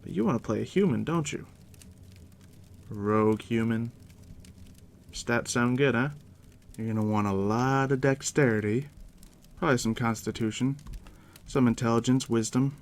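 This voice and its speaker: A man speaking softly and unhurriedly as a game master in an ASMR roleplay, explaining things straight to the listener in a hushed, gravelly voice. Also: medium pitch. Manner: unhurried, conversational, addressing the listener directly. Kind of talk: soft-spoken ASMR roleplay, explaining tabletop game rules. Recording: steady background noise, fire crackle. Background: crackling fire.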